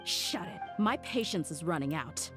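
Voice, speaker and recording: A woman's voice: fairly low pitch, animated, normal speed, music in the background, lightly acted. A woman acting a tough, blunt young female mercenary in a video game, with a no-nonsense way of talking. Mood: threatening, impatient. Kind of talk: scripted game dialogue.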